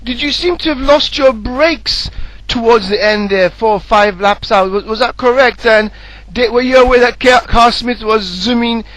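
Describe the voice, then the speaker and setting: A man's voice: extremely high pitch, normal speed, fairly bright tone, rough recording. A man co-commentating on a sim race in a casual, matey way, chatting and putting questions to others. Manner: informal, matey.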